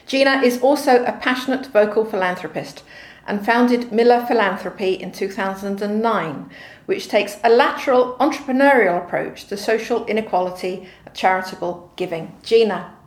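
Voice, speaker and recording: A woman's voice: mid-range voice, moderate pace, hardly any pauses, echoey room. A woman hosting an interview show, reading a scripted introduction and reacting with effusive, enthusiastic interjections. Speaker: host.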